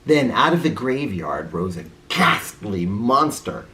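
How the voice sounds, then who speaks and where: Low-voiced, very animated woman storyteller. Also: takes it slowly, slight background noise. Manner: theatrical, with informal asides to listeners. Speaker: read-aloud storyteller. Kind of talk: expressive children's story narration.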